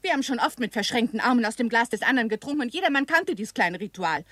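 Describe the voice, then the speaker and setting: High-voiced seductive film star, radio drama. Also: female voice, lively intonation, fast, fairly bright tone, no room sound. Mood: uneasy, probing. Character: seductive, lonely Hollywood film actress. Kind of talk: 1960s German radio crime drama acting. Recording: 1960s radio play with background noise.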